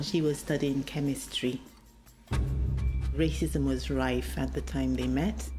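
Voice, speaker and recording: Deep-voiced woman. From Nigeria. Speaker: interviewee. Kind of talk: interview. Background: music.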